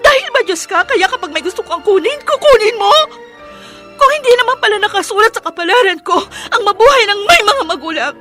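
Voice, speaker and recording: Shrill, anguished orphan girl. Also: animated, brisk, pushed and bright, faint music behind, heavily acted. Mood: anguished, furious. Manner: tearful, accusing, high-strung outburst. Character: anguished orphaned girl raging bitterly at God. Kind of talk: melodramatic radio drama acting.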